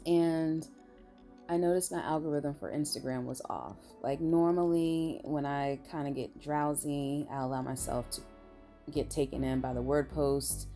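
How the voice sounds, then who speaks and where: A woman vlogger with a raspy voice, sounding tired, talking in a rambling, blunt and emphatic way. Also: low-pitched, dark tone. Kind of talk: casual opinion monologue.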